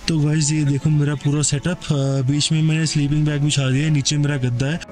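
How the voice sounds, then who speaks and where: A man's voice: fairly high pitch, level tone, brisk, music in the background. A young man chatting to viewers as a travel vlogger at a cold outdoor campsite. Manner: chatty, addresses viewers directly. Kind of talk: casual vlog narration.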